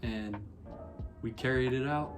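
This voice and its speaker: A man's voice: medium pitch, a little slow, music in the background. A young man from Colorado co-hosting a casual couple chat, easygoing and joking as he tells a story. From Colorado, western United States. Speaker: co-host.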